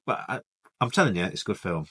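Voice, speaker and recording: A man in casual chat as a podcast co-host, relaxed and rambling, trailing off with fillers. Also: low-pitched, quick pace, slightly rough.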